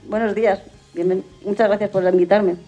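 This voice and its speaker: A woman answering casually as an interview guest, halting and correcting herself. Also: mid-range voice, fairly even intonation, warm tone. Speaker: interview guest, adaptive athlete. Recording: dull sound, faint music behind.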